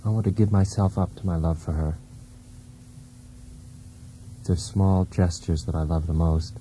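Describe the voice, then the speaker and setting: Actor playing a deep-voiced, brooding husband. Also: male voice, steady tone, warm tone, a little hiss or hum, lightly acted, low-quality recording. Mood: brooding, possessive. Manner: deliberate, intense, theatrical delivery. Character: brooding, wounded husband in a failed marriage. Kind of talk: poetic monologue in a radio play. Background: music.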